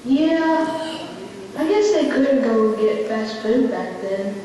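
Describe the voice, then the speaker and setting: A woman acts as a cheeky, skeptical kid in a puppet show, with a high voice and blunt, casual questions. It sounds distant, in a very reverberant hall. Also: lively intonation, slow pace, dark tone. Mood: curious, skeptical. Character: skeptical, cheeky young child, a bit creeped out. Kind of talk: scripted puppet show dialogue. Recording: echoey hall from far off, faint noise.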